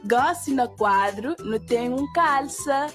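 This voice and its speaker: A patient, cheerful cartoon schoolteacher acted by a woman with a Cape Verdean accent and a fairly high voice. She gives instructions very slowly, with encouraging questions for young children. Light children's music plays underneath. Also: animated, a bit bright, exaggerated voice. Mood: encouraging, instructive. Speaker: teacher. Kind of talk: scripted children's language lesson.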